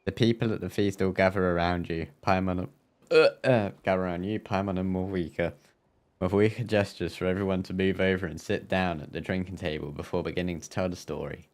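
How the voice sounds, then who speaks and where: Low-voiced man reading along to a game. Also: fairly even intonation, fairly quick. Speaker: streamer.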